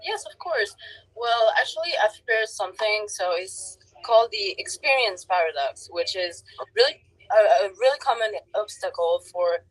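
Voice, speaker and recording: A woman's voice: medium pitch, expressive, normal speed, low-quality recording. A nineteen-year-old woman from France, speaking casually as a guest on a chat show.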